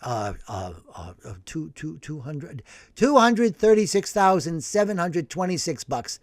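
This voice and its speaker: A man voicing a timid, hesitant middle-aged priest who stammers softly. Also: on the high side, big pitch swings, no room sound. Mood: flustered. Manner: stammering, soft and halting.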